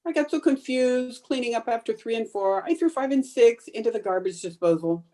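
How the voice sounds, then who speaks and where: A woman playing a frazzled, exasperated housewife in an old-time radio comedy, with deadpan punchlines, over a noisy home video-call recording. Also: a little high, a little fast, lightly acted. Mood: flustered. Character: frazzled, exasperated housewife bungling a dinner party.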